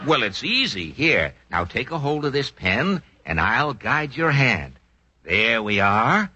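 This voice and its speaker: A man's voice: mid-range voice, very animated, takes it slowly, sharp tone, lo-fi sound, some chatter behind, heavily acted. A man playing a pompous, gluttonous older brother and manager in a 1940s radio comedy sketch, blustery and insistent. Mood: bossy, scheming. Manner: blustery, self-important, insistent. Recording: noisy, crackly 1940s radio recording.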